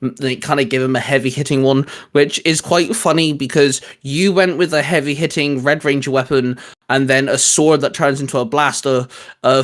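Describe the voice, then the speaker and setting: A man's voice: on the high side, a bit bright. A man co-hosting a casual fan discussion, talking in a rambling, enthusiastic way. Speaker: co-host of a fan discussion show. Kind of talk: casual chat about geeky topics.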